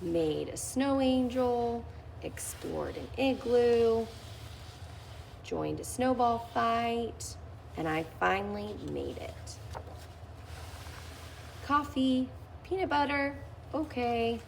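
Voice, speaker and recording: A woman's voice: a little high, expressive, slow pace, vocal fry, long pauses, darkish tone, a little hiss or hum, poor audio. A woman reading a children's picture book aloud, lifting her voice expressively on key phrases. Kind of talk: read-aloud storytelling.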